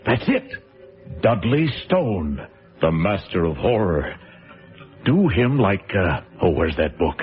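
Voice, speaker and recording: A man's voice: low voice, big pitch swings, slow pace, full character voice. An actor playing a man muttering to himself and scheming in a radio drama. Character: scheming middle-aged male TV producer. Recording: tinny radio recording with loud music.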